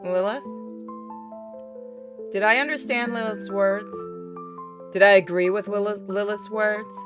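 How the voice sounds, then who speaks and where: A woman's voice: medium pitch, fairly even intonation, a little slow, over music. A woman giving a dramatic reading of visual novel game dialogue.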